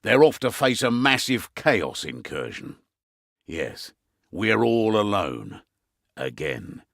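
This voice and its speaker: An actor playing a gruff, weary middle-aged British innkeeper turned spymaster, briefing in a wry, conversational way for a video game. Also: male voice, on the low side, big pitch swings, slow, fairly bright tone, no echo, exaggerated voice. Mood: weary resolve. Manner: wry, conversational briefing with dry asides and trailing afterthoughts.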